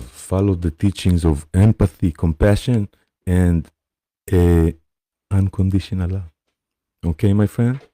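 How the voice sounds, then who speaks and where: A man from Israel with an Israeli accent, hosting a live spiritual session. He speaks in a halting, gentle way and often pauses to check in with his listeners. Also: sits low, no echo. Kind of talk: live-streamed spiritual talk.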